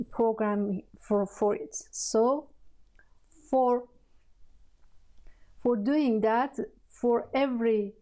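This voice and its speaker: A woman with a Persian accent, a native Persian speaker, teaching a coding lesson in halting, hesitant speech full of fillers. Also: on the high side, dark tone, rough recording. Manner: halting, searching for words, many fillers. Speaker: programming tutor. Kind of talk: screen-recorded coding tutorial.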